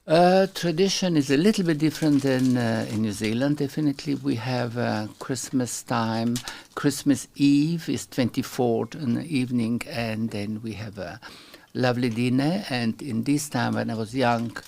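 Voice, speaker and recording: A middle-aged man from Slovenia speaks English with a clear Slovenian accent and a gravelly, fry-tinged voice. He is a guest in a relaxed interview and talks in halting second-language English, often pausing to find a word. His pitch sits in a middle range. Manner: halting, searches for English words. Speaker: guest artist. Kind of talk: casual interview chat.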